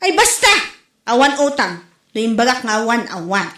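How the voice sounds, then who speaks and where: A brash, penny-pinching village storekeeper played by a woman in a comedy radio play. Her voice is very high and piercingly bright, with a dismissive, scolding delivery. The sound bounces around an echoey room. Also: big pitch swings, normal speed. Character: stingy, brash female village storekeeper who refuses credit. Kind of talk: comedy radio drama acting.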